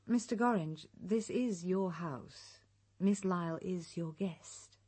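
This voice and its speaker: A woman's voice: on the low side, slow, warm tone. An English actress in a radio drama playing an earnest, watchful female investigator, polite and probing. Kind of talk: British radio drama acting.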